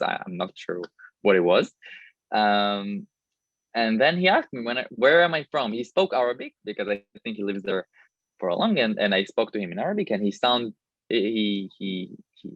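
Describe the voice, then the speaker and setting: A man's voice: lowish voice, a bit bright, no room sound. Israeli-born male guest, stammering storyteller; muffled, dry recording. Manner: halting, stutters on pronouns. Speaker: panel guest. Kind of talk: personal story in casual talk. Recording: dull, dry lo-fi sound.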